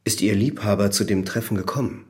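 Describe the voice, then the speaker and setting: An actor playing a persistent, methodical examining magistrate with a formal, probing manner in a German radio drama. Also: male voice, lowish voice, fairly quick, a little mellow, natural voice.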